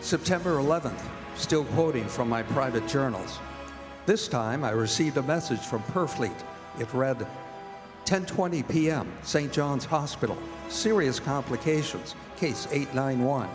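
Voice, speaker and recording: A man's voice: medium pitch, animated, a little slow, music in the background, lightly acted. A man playing an earnest, devoted doctor who narrates a radio drama. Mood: earnest, formal. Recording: echoey hall, live stage performance.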